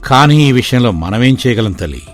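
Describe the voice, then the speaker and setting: Man playing a calm soft-spoken dad, protective of his daughter, cartoon story, mid-pitch. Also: brisk, soft background music, natural voice. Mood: concerned. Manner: calm, tender, cautious. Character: gentle protective village father. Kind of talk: animated storybook voice acting.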